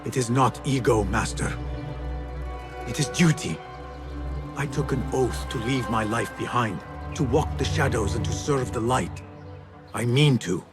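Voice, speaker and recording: A man's voice: mid-range voice, unhurried, long pauses, over music, close to an everyday voice. Man playing an earnest, determined young assassin. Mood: earnest defiance. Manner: earnest, intense. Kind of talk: dramatic video game acting.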